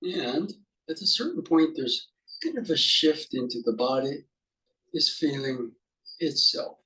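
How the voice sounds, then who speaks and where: Male meditation teacher, mid-pitched, guiding off the cuff in halting, reflective fragments with pauses between phrases. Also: animated, pushed and bright, low-quality recording. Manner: halting, musing, speaks in short fragments with pauses. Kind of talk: unscripted guided meditation.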